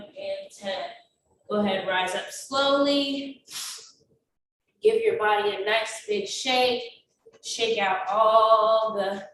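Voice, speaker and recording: Woman fitness instructor, stuffy from a cold, unhurried cues, far off the mic with faint hiss. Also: mid-range voice, a bit bright. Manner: slow, encouraging counting cues. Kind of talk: guided exercise class with counting. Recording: very echoey, distant, faint noise.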